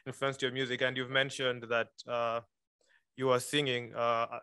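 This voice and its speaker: A man hosts a webinar interview from a lectern, his voice bright and crisp. He asks questions haltingly, with many fillers. The sound is dry. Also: mid-range voice, level tone, no echo. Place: room at a lectern.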